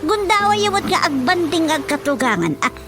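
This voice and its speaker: A woman's voice: sits high, sing-song, brisk, music in the background. A woman with an Ilocano accent playing a chatty, teasing middle-aged villager in a radio comedy drama. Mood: explaining.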